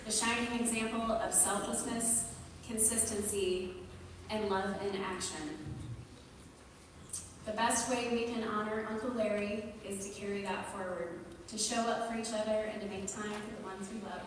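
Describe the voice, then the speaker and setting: A woman reading a prepared memorial tribute as a family member, in measured, listed phrases, recorded in a large indoor space. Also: medium pitch. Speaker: family member giving a eulogy. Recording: echoey hall, distant mic, noisy.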